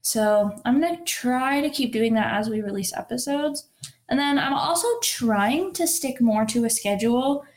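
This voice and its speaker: A woman's voice: a little high, normal speed. A young woman in her twenties with a nasal voice, co-hosting a podcast in casual chat, swearing and laughing often. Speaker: podcast co-host.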